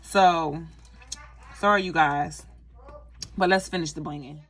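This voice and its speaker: A woman's voice: deep voice, fairly even intonation, takes it slowly, a bit bright, faint music behind. A young woman chatting casually through a craft how-to vlog, talking to her viewers with lots of fillers. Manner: chatty, many fillers, addresses viewers as "you guys". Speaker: craft vlogger.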